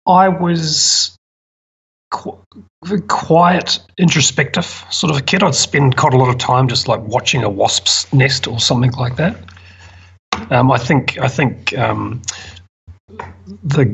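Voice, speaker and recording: Husky New Zealand man, dull audio. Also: medium pitch, a little slow, fairly bright tone. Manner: hesitant, thoughtful, repeats words. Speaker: podcast guest, permaculture designer. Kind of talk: casual interview chat.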